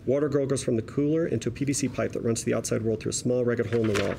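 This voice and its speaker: A man reading scripted stage directions as narrator at a table read, in a measured, descriptive storytelling manner. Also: mid-range voice, gravelly. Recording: faint room noise.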